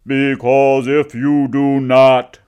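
A male storyteller voicing a greedy, nasty man in the sun, loud, slow and growling. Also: medium pitch, flat delivery, clear, resonant tone, pitched above the performer's own voice. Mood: menacing. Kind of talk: storytelling performance.

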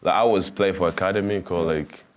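Young Tanzanian man, extremely deep voice, soft-spoken, halting answers. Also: steady tone. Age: young adult. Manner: soft-spoken, halting, simple phrasing with fillers. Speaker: college basketball player guest. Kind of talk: casual interview answers.

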